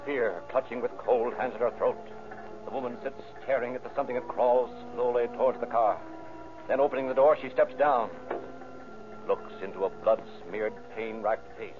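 A man narrating a vintage radio crime drama in an ominous, measured, florid manner. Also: mid-range voice. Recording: tinny narrow-band old radio recording. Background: loud dramatic music.

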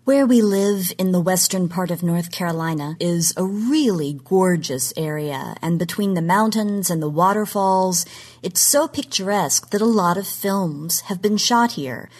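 An American woman with a slightly low voice presents a scripted podcast segment. She is articulate and tells her material like a storyteller, placing her emphasis with care. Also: a little slow, darkish tone. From Oklahoma, United States. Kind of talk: scripted talk, storytelling lecture.

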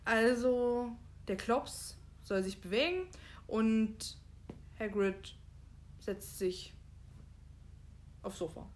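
A woman's voice: fairly high pitch, extremely slow, pauses often, a little hiss or hum. A woman co-hosting a podcast, chatting casually and thinking aloud with loose asides. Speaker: podcast co-host. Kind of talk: casual chat, discussing a book and film.

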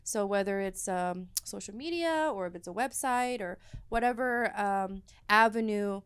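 A woman's voice: medium pitch, unhurried, clear, resonant tone. A woman from Dallas chatting casually as a guest in an interview, talking with many fillers and restarts. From Dallas, Texas. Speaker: guest, host of an adult content show.

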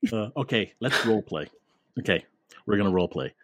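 A man's voice: lowish voice, expressive, fairly quick, dry recording, studio quality. A man hosting a podcast in casual, jokey chat, laughing often and tripping over his words. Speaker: podcast host.

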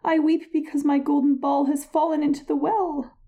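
A man voicing a spoiled young princess in a fairy tale reading, in a high girlish voice. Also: little pitch movement, normal speed, warm tone, muffled recording. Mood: pleading. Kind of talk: storybook reading.

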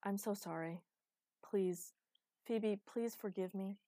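A woman's voice: mid-range voice, slow pace, mellow, dry recording, studio quality, close to an everyday voice. A woman voicing a despairing, self-loathing male lover in a fantasy audiobook, apologetic and pleading. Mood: remorseful pleading. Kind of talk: audiobook character acting.

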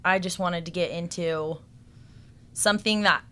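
A woman's voice: on the low side, steady tone, unhurried, fairly bright tone, smooth. A woman from Salt Lake City, a personal trainer, talking in a friendly, matter-of-fact way as a podcast guest. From Salt Lake City, Utah. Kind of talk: casual interview chat.

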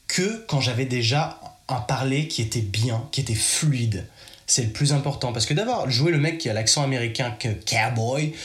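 A man's voice: medium pitch, fairly bright tone, reverberant. A French man giving casual advice in a chatty, direct way, speaking straight to the listener. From France. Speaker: podcast host.

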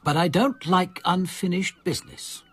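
An actor playing a composed, courteous police commander in a radio drama, with a careful, probing way of speaking. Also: male voice, high-pitched, fairly even intonation, moderate pace, breathy edge. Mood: firm, reflective.

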